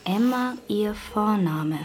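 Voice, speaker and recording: A solicitous hospital nurse in an audio drama. The speech is slow, at an ordinary pitch. The nurse talks down to the patient as if to someone small. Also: female voice, animated, darkish tone. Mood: patronising, puzzled. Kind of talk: acted dialogue in an audio drama.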